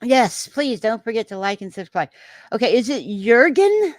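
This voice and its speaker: A woman's voice: on the high side, sing-song, moderate pace, dry recording. A woman with a Texan accent hosting a casual livestream, reading out viewer comments and reacting in a rambling way with many filler sounds and restarts.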